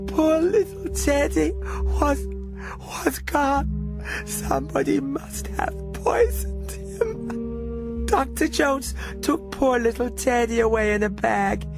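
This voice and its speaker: A man voicing a prim, genteel elderly aunt with measured, hesitant phrasing in a radio drama reading. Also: very high-pitched, creaky, over music, voice pushed up, heavily acted. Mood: tearful, distraught. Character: doting, genteel old aunt, prim and sinister.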